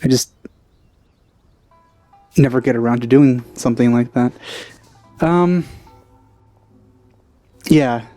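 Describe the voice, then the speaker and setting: A man's voice: mid-range voice, takes it slowly, long pauses, mellow. A man giving casual let's-play commentary in wry, musing asides. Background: game music.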